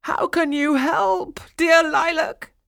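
A woman voicing a distraught storybook king, a pleading father, in a loud, wailing manner. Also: very high-pitched, steady tone, takes it slowly, gravelly, no room sound, studio quality, pitched above the performer's own voice, exaggerated voice. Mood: anguished.

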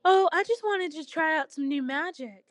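A woman's voice: very high-pitched, sing-song, slow pace, a little mellow, no echo, pitched above the performer's own voice, full character voice. A woman voicing a wicked, sly goddess of magic who coos and pouts in a storybook tale. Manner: cooing, pouting, scoffing.

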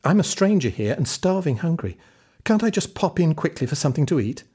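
An eager young lad pleads for food in a lifted, hopeful voice, as a male storyteller plays him. The tone rises above the reader's own and stays a little husky. He sounds like a cheeky storybook hero. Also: a little high, big pitch swings, fairly quick, mellow, pitched above the performer's own voice, exaggerated voice. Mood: pleading. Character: young hungry pleading boy.

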